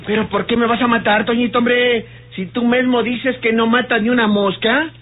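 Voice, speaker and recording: Nervous Mexican rogue, high pitch, pleading flattery, stammering, radio serial, muffled vintage recording. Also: male voice, normal speed, a little hiss or hum. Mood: startled, protesting. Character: cornered, flattering, nervous Mexican ranch scoundrel. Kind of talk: old radio serial acting.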